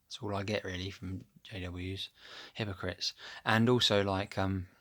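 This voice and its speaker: A man with a deep voice chatting casually to his phone camera at home, rambling and losing his thread with plenty of ums. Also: fairly even intonation, measured pace, fairly bright tone. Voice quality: low, deep voice. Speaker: vlogger. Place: at home, upstairs room. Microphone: phone camera held close.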